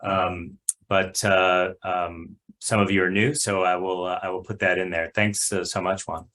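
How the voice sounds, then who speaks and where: A man's voice: deep voice, little pitch movement, measured pace, sharp tone. A man on a video call presenting a family wellbeing program, explaining earnestly with frequent uhs. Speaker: family wellbeing center staff. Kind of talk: online meeting presentation.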